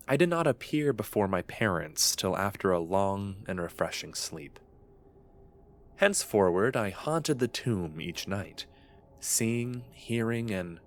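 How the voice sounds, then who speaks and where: Man playing a deep-voiced, brooding gothic young narrator. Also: exaggerated voice. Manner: solemn, formal, archaic and literary diction. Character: brooding, obsessed young man confessing his morbid secrets. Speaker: narrator and lead character. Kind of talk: dramatic first-person gothic horror narration.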